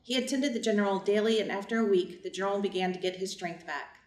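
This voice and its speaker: A woman's voice: mid-range voice, quick pace, natural voice. A woman playing a household servant who narrates a staged reader's theater drama in plain, observant scripted narration. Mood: reflective. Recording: distant, echoey, faint room noise.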